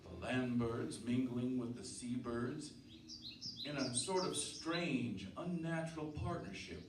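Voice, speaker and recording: A man playing a brooding, uneasy married farmhand in an earnest, measured old-time radio drama style. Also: mid-range voice, natural voice. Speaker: actor, narrator and lead. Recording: roomy, distant mic, clear background noise.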